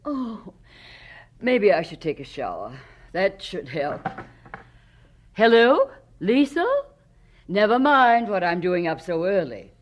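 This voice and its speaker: A woman's voice: mid-range voice, sing-song, takes it slowly, pauses often. An actress playing a troubled, weary, wealthy wife and mother in a 1970s radio drama, dramatic and emotional. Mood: groggy, aching.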